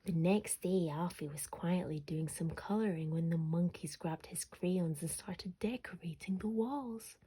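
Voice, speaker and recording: A woman storyteller narrating a children's bedtime story expressively, sometimes dropping to a hush. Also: fairly low pitch, measured pace, hardly any pauses, a little hiss or hum.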